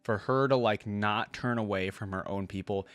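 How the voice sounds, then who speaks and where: Man, lowish voice, dry close recording, reading aloud, casual reaction host. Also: moderate pace, a bit bright, no room sound.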